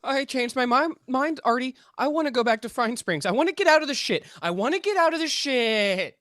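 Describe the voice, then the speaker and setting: A man's voice: very high-pitched, lively intonation, brisk, a bit bright, dry recording, clean studio sound. A man playing a frightened, whining fugitive in a script table read. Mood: panicked whining.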